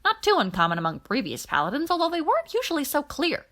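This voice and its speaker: A chatty alien man put on by a woman reader declaims in a high voice. He is a breezy adviser in an audiobook space adventure. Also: huge pitch swings, fast, a bit bright, heavily acted. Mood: breezy, declaring.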